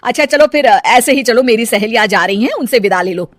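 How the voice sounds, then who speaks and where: Woman doing a loud, bossy mother-in-law. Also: a little high, lively intonation, brisk, no room sound, clean studio sound. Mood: brisk, bossy. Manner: loud, scolding, demanding. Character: bossy, questioning middle-aged mother-in-law. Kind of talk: Hindi cartoon moral story.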